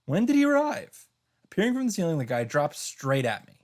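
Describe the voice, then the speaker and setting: A man with a slightly high voice reads first-person narration aloud. His pitch moves around in a lively way, and his delivery is theatrical and a little halting, leaning into dramatic emphasis. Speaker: streamer reading a visual novel aloud.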